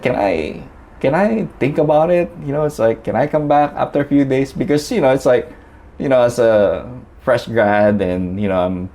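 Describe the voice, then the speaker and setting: A man from the Philippines speaks in a very low voice, telling his story as an interview guest. He talks chattily, with many fillers and restarts. Faint noise sits behind him. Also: creaky, dark tone.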